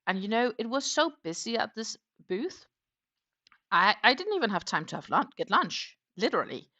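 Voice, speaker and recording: A woman's voice: a little high, sing-song, moderate pace, bright, forceful tone, lo-fi sound. A middle-aged woman hosting a crochet livestream, talking in a casual, rambling way with frequent ums as she tells about her weekend. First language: not German. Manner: chatty, rambling, frequent um fillers. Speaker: crochet channel host.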